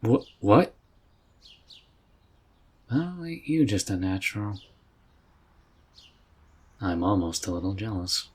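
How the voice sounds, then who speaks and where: A man playing a friendly, relaxed mage mentor with a folksy, reassuring way of talking in a roleplay audio drama. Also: on the low side, takes it slowly, pauses often, husky, full character voice. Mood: astonished. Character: easygoing, friendly male fantasy mage mentor.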